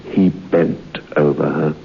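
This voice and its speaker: A man in a vintage radio drama telling a grim story in a grave, measured way. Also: extremely low pitch, fairly even intonation, creaky. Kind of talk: 1950s radio drama acting. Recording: dull old radio broadcast with hiss.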